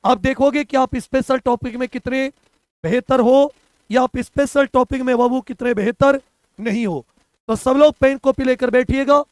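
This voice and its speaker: A hoarse young man teaching a live online exam-prep class, repeating answers and quizzing his students. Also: extremely high pitch, steady tone, moderate pace, dry recording. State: hoarse from a cough.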